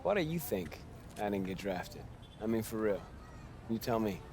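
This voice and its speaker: Blunt low-voiced American basketball mentor, noisy surroundings. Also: male voice, sing-song, normal speed, darkish tone. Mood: blunt, challenging. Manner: emphatic, streetwise, rhetorical questioning. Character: seasoned basketball mentor, blunt, preaching hard realism to youngster. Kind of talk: naturalistic video game cutscene acting.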